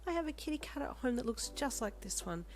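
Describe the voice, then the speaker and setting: A woman voicing a motherly, doting, bubbly woman with a gentle, cooing manner in a story. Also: on the high side, animated, a little fast, faint music behind, voice pushed up. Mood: tender. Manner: cooing, gentle, full of endearments.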